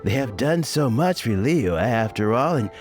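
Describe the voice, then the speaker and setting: An actor playing a genial, wordy old official in a video game, rambling with gentle, hesitant courtesy. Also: male voice, medium pitch, expressive, normal speed, faint music behind, close to an everyday voice. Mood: calm, genial. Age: older.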